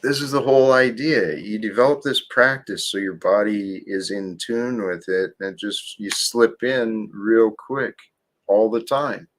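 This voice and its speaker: A man hosting a livestream, talking slowly and calmly about faith and gratitude in a soothing, gently preaching manner. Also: on the low side. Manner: slow, calm, gently preaching. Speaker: livestream host. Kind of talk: spiritual livestream talk.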